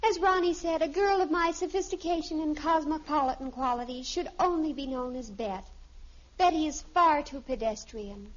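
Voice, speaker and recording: An actress playing a melodramatic, lovestruck American teenage daughter with affected sophistication in a 1950s radio sitcom. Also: female voice, sits high, moderate pace, lightly acted. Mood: dreamy, affected. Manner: pompous, theatrical, dreamy. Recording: dull vintage radio recording.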